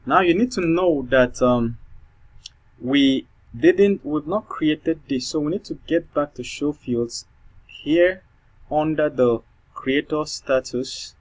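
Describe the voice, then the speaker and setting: A man's voice: a little high, slow, poor audio. A man speaking English with a non-native accent, thinking aloud through a coding tutorial with many fillers and restarts. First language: not English. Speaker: programming tutor. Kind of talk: screen-recorded coding tutorial.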